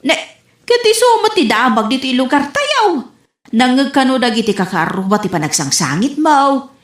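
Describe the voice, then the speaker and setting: A woman's voice: high-pitched, very animated, moderate pace. A woman playing a gossipy neighbor in an Ilocano comedy radio drama, speaking with an Ilocano accent. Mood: prying. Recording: roomy echo, faint music behind.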